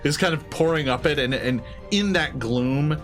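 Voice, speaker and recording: A man's voice: on the high side, normal speed, faint music behind. A man improvising narration and rulings as game master of a tabletop game.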